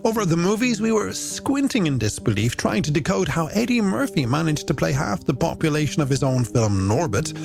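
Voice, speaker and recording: A man's voice: fairly high pitch, big pitch swings, fairly quick, creaky, hardly any pauses. A man presenting a late-night music radio show in playful, theatrical, florid patter from a studio. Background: music bed under the voice.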